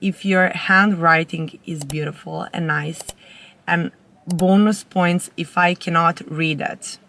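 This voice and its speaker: A woman's voice: on the low side, fairly even intonation, slow, creaky, a bit bright, a little hiss or hum. A young woman, a native Serbian speaker with a Serbian accent, chatting casually to camera in an informal, rambling way as a vlogger. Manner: informal, rambling with self-corrections.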